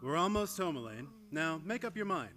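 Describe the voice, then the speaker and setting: Breezy wisecracking young sweetheart, radio play, lightish voice, very animated. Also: male voice, a little high, fairly quick. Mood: breezy, wry. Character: wry, flustered young drama critic in love. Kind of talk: old-time radio comedy acting.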